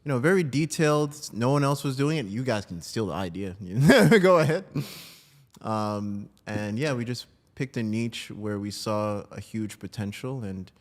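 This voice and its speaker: A man's voice: mid-range voice, expressive, measured pace. A man from New Jersey talking casually as an interview guest about his own work. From New Jersey, United States. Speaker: podcast guest, breathwork coach.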